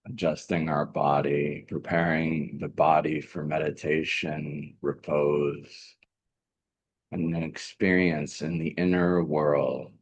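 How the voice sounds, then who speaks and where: A man with an exceptionally deep, husky voice guides a meditation. He speaks slowly and gently as a teacher, repeating short phrases and leaving long pauses between them. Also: level tone. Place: live online video meetup.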